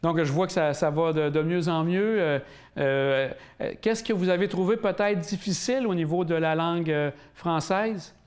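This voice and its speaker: A man's voice: on the high side, normal speed. A man hosting a local TV interview, asking questions with many fillers and hesitations. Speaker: interviewer and host. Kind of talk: community TV interview.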